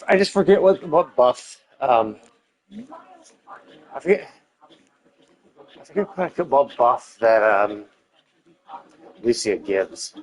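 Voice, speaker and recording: A man's voice: medium pitch, lively intonation, slow pace, pauses often, rough recording. A man commentating casually on a live game stream, thinking aloud in a hesitant, rambling way and repeating himself, with casual swearing.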